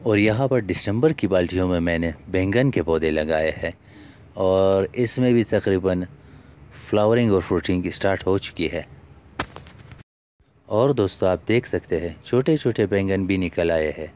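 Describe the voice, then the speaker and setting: A man's voice: deep voice, moderate pace, a little mellow. A man, narrating a home gardening vlog as its host in a scripted voice-over.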